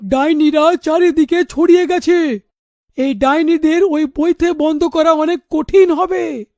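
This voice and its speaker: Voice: high voice, steady tone, a little slow, mellow, no room sound, studio quality. An actor playing a troubled, protective middle-aged father in a cartoon, grave and insistent in manner. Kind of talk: animated story dialogue.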